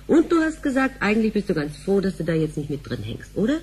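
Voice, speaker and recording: A woman playing a sharp-tongued wife in a sci-fi radio drama, mocking and needling. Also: mid-range voice, big pitch swings, fast, slight background noise, natural voice. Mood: exasperated, sarcastic. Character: sharp-tongued, sarcastic wife nagging her husband.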